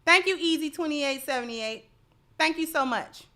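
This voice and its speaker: A woman's voice: high-pitched, moderate pace, bright, forceful tone. A woman hosting livestream social commentary, speaking emphatically and often repeating phrases to drive a point home.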